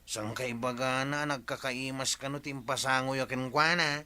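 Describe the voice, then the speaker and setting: A man's voice: mid-range voice, steady tone, normal speed, bright, forceful tone, smooth. A man playing an easygoing villager in an Ilocano comedy radio drama, speaking with an Ilocano accent. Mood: planning.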